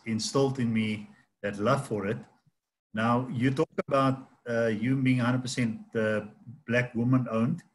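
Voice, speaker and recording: A middle-aged man hosting a video call interview from a home office, asking questions in a relaxed, conversational way. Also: mid-range voice, measured pace. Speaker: host of virtual trade fair. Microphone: laptop mic on video call. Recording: video call with faint background noise.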